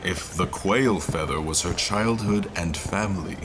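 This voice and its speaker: Fantasy audio drama narrator: male actor as a reflective historian, very low voice, literary and measured, music underneath. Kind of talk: scripted first-person story narration.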